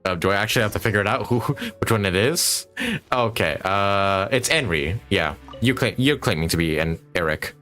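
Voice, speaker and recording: A woman with an unusually low voice streams a game. She muses aloud in casual asides, her pitch moving around freely. Soft game music runs underneath. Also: expressive, normal speed, few pauses. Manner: musing aloud in short asides. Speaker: game livestreamer. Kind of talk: casual reactions while playing a game.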